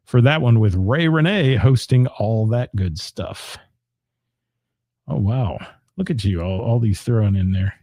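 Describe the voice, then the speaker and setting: A man's voice: on the low side, measured pace, warm tone, no echo, clean studio sound. A man, hosting a live show and rattling off local gig listings with asides to the chat. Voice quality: gravelly voice. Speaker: radio host.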